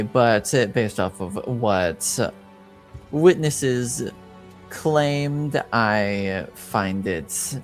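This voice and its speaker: A man acting as a formal, guarded informant in a fantasy roleplaying game. He speaks slowly in a fairly low voice, with halting, careful diction. Soft music plays under the measured delivery. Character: formal, guarded male informant reporting intrigue in a fantasy world. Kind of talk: tabletop roleplay, improvised in character.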